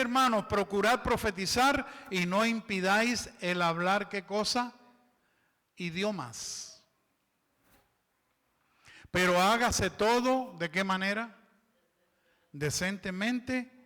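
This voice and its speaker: Bright-voiced pastor in an echoing church. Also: male voice, sits high. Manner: asks the congregation to fill in words; reads scripture aloud and explains it. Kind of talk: sermon. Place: church hall. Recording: long reverb of a church hall.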